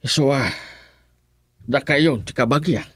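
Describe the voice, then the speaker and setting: A man's voice: mid-range voice, slow, breathy edge, no room sound, clean studio sound. An actor playing an earnest homeless man seeking his kin in an Ilocano radio drama, with an Ilocano Filipino accent and a confiding manner. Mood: sorrowful pleading.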